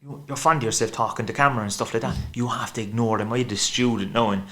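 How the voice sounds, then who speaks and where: A man's voice: medium pitch, fairly even intonation, quick pace, a bit bright. A man with a thick Cork accent, a podcast guest chatting casually and rambling at length. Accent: Cork Irish. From Ireland. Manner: chatty, rambling, acts out quoted voices. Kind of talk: casual chat.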